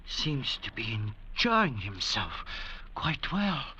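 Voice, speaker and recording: A man's voice: mid-range voice, wildly swooping pitch, takes it slowly, pushed and bright, highs cut off, a little hiss or hum, exaggerated voice. An actor playing a frightened, suspicious man stranded on a raft, in 1940s radio acting style. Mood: uneasy.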